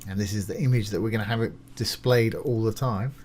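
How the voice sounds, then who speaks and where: A man's voice: on the low side, steady tone, a little fast, a little mellow, a little hiss or hum. A man presenting a casual step-by-step screencast tutorial, informal and reassuring as he explains each step while doing it. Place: voice-over of a screen recording.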